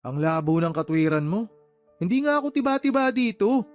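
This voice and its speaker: Man acting a higher-voiced, exasperated Filipino younger brother. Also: very animated, a little fast, soft background music. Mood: irritated. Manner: defensive, exasperated. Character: weary, exasperated younger brother working in the city. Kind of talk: Tagalog radio-drama phone dialogue.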